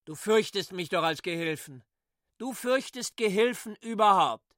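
Casting: defiant, needling servant, notably high-pitched, dry recording, radio drama. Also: male voice, animated, moderate pace, fairly bright tone, dry recording. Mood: mocking. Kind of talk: literary radio drama acting.

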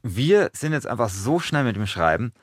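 Animated man thinking aloud. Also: medium pitch, normal speed. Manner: colloquial, emphatic, thinking aloud. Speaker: podcast co-host and writer. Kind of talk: casual brainstorming chat. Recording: music behind the talk. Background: music.

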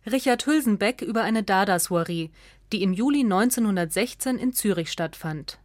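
A woman's voice: mid-range voice, lively intonation, normal speed, darkish tone, dry recording. Woman reading a radio essay, dry studio. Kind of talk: scripted essay narration.

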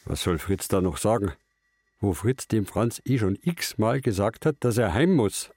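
A man's voice: lowish voice, animated, measured pace, a little mellow, no echo, clean studio sound. An actor playing a stubborn, bitter old man after a stroke, grumbling tersely and narrating himself. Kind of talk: stylised stage-drama narration and dialogue.

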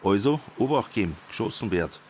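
Deep-voiced documentary narrator. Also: male voice, animated, a little mellow, slight background noise. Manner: wry, measured storytelling. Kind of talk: scripted narration.

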